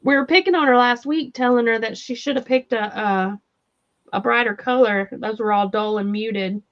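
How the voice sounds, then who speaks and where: A woman's voice: fairly high pitch, moderate pace. A woman with a Southern US accent hosting a crochet livestream in casual, laughing chat and reading viewer comments aloud. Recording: slightly dull lo-fi audio.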